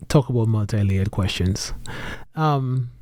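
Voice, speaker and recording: A man's voice: lowish voice, dry recording. Reflective Trinidadian man, dry sound. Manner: reflective, earnest, frequent "right?" tags and restarts. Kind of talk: podcast conversation.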